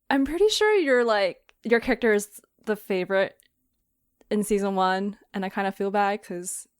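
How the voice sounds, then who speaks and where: A woman's voice: mid-range voice, measured pace, smooth, no echo, clean studio sound. A woman hosting a casual podcast chat, giggling often as she talks. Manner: giggly, laughs often while talking. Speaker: podcast host and creator.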